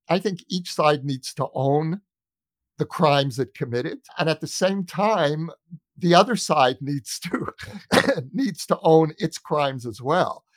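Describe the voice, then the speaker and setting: A man's voice: fairly high pitch, no echo, studio quality. A man from the United States speaking as an interview guest, making an earnest conversational argument with emphatic, repeated phrasing. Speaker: interview guest, journalist and author.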